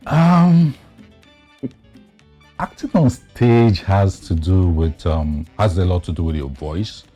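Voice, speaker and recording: A man explaining things haltingly and deliberately as an interview guest. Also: deep voice, expressive, mellow, soft background music. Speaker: guest actor.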